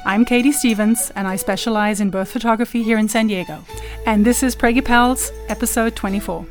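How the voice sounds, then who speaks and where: A woman's voice: on the high side, fairly even intonation, brisk. A woman who is a birth photographer, reading a scripted show promo. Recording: voice over music.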